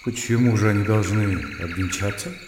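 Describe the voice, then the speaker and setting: An actor playing an educated, reserved gentleman in a Russian radio play, polite and ironic, with a didactic turn. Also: fairly low pitch, moderate pace, music in the background, natural voice. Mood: puzzled, polite. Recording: echoey reverberant sound, clear cricket ambience.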